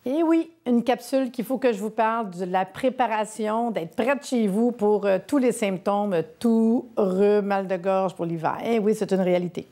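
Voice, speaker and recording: A woman's voice: medium pitch, moderate pace, hardly any pauses, warm tone. A woman with a Québécois accent presenting a sponsored health segment, chatty and persuasive. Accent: Québécois French.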